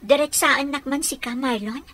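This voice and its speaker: A woman's voice: sits high, normal speed, a bit bright. A woman with an Ilocano accent playing an insistent, caring young fiancée in a radio drama. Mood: suspicious, pressing.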